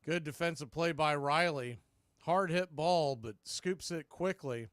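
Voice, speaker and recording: A man's voice: a little high, unhurried, fairly bright tone, no echo, clean studio sound. A man doing live baseball play-by-play commentary as the show's announcer, in terse, clipped calls.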